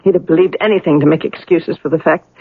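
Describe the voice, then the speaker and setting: A woman's voice: low voice, fairly even intonation, fast talker, gravelly, breathy edge, no room sound, narrow, tinny audio, heavily acted. An actress playing a troubled, guilt-ridden young woman, bitter and resigned, in a 1970s radio drama. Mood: bitter regret.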